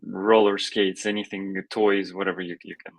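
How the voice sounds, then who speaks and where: A man who grew up in Russia, answering interview questions in a halting way with many restarts. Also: deep voice, flat delivery, gravelly, dry recording. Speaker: guest, immigration lawyer and founder.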